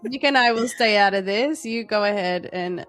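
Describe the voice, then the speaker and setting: A woman's voice: mid-range voice, moderate pace, a bit bright, faint music behind. A woman co-hosting a livestream in an informal, bantering chat. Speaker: livestream co-host.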